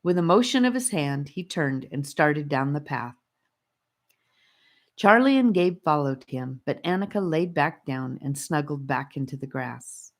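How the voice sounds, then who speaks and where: A woman narrating a children's story audiobook in an expressive storytelling style. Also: low-pitched, a little slow.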